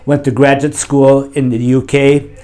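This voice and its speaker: A man talks in a measured, unhurried way, pausing on fillers as he recounts his past. His voice sits at an average pitch for a man. He answers as a church mission director in a TV interview. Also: level tone, mellow.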